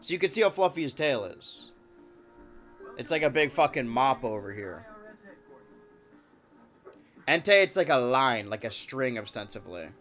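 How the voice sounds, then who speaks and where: A man with a stuffed-up nose hosts a casual live stream, joking with chat and talking to his cats. His voice comes across bright and forward. Soft music plays faintly underneath. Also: medium pitch, sing-song, normal speed, pauses often.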